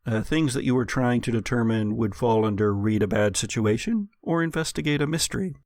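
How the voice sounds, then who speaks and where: A man running a gothic horror tabletop game as game master, narrating deliberately and descriptively with suspenseful pauses. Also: medium pitch, darkish tone, dry recording, studio quality.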